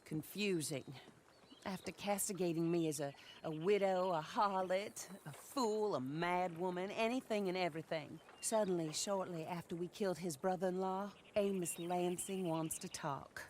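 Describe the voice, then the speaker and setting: Actress as a genteel, steely widow, noisy background. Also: female voice, fairly low pitch, a little mellow, lightly acted. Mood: bitter, resolute. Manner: genteel, deliberate. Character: vengeful, poised, genteel widow in the Old West. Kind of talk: video game cutscene acting.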